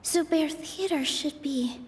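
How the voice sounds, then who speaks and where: A nervous young woman's inner monologue in a video game. The voice is high, hushed and hesitant, washed in long reverb. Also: takes it slowly, far from the mic. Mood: anxious, hushed. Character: anxious young woman's inner thoughts, steadying herself. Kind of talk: scripted video game voice acting. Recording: long reverb, faint background noise.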